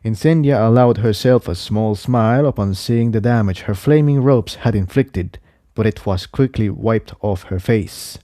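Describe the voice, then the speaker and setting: Man narrating adventure fiction, mellow, dry sound. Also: lowish voice, moderate pace, dry recording. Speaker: narrator reading a fan fiction adventure story. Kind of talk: scripted narration, audiobook-style story reading.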